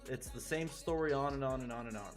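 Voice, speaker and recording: A man from Chile, a forex trader chatting casually and excitably with his live viewers, swearing freely. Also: medium pitch, quick pace. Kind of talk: casual livestream commentary. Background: faint music.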